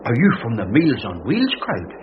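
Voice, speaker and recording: A flustered old villager. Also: male voice, medium pitch, huge pitch swings, normal speed. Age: older. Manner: hesitant, stammering, hard of hearing. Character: old deaf-ish male villager Tom, flustered. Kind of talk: radio drama acting. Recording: dull old radio audio with background noise.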